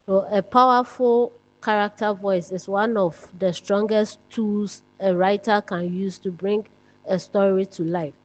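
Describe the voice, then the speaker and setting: A woman's voice: medium pitch, fairly even intonation, unhurried, muffled recording. A woman narrating a scripted writing lesson, reading out points step by step like a teacher.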